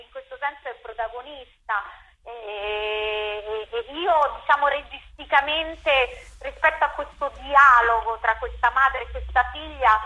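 A woman, a theatre director explaining her work in an interview in a reflective, halting way with repeated words. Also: high voice, bright, forceful tone, rough recording.

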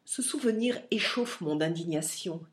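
A woman's voice: lowish voice, animated, normal speed, close to an everyday voice. A woman voicing a stern, unbending older father who speaks severely and curtly to his son in an audiobook reading. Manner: severe, curt.

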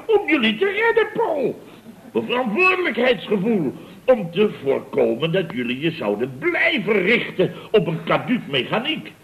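An actor playing a pompous, boastful boss in an old Dutch radio comedy, blustering and sarcastic. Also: male voice, high voice, very animated, moderate pace, vocal fry, exaggerated voice. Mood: indignant. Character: pompous, boastful, self-justifying male boss of a building firm. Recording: dull old radio recording.

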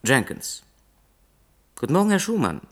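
An actor playing a dutiful, discreet presidential aide, formal and polite, in a 1960s German radio drama. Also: male voice, on the high side, sing-song, normal speed.

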